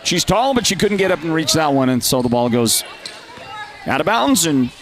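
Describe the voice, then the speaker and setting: A man's voice: on the high side, big pitch swings, moderate pace. Congested nasal man calling basketball. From Northern Arizona. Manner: chatty, rises to loud calls on big plays. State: congested from a lingering cold. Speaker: play-by-play announcer. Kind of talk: live basketball commentary.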